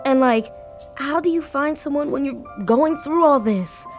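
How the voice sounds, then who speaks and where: A woman playing an anxious, grief-stricken young woman in an audio drama, speaking haltingly and repeating words. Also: high-pitched, faint music behind. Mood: overwhelmed. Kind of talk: naturalistic audio drama acting.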